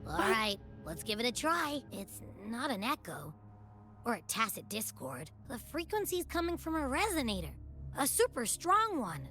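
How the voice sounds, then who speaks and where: A small, impish creature companion from a video game. The voice is high and piercingly bright, swinging widely in tone with unhurried, childlike playfulness. Music plays underneath. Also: female voice, big pitch swings, exaggerated voice. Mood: focused.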